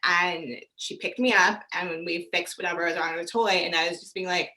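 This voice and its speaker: A woman's voice: on the low side, fairly even intonation, a little fast, pushed and bright, low-quality recording. A woman hosting a podcast, telling stories in a chatty way with plenty of "you know" and "like". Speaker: podcast host. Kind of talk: podcast interview.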